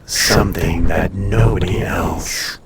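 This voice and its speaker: A man playing a proud, teasing ancient dragon in an intimate roleplay audio, speaking in a condescending, often hushed and breathy way. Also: deep voice, steady tone, takes it slowly, heavy background noise. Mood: menacing, musing.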